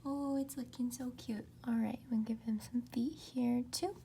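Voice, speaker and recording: Soft, gravelly-voiced woman whispering. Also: on the high side, steady tone, slight background noise. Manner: soft, often whispered, unhurried. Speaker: art tutorial host. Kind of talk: soft-spoken ASMR drawing tutorial.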